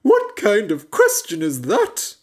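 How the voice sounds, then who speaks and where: A woman voicing a grumpy, old-fashioned male horse who talks loudly and huffily. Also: mid-range voice, huge pitch swings, takes it slowly, husky, voice pushed up, full character voice. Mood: indignant. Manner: loud and huffy.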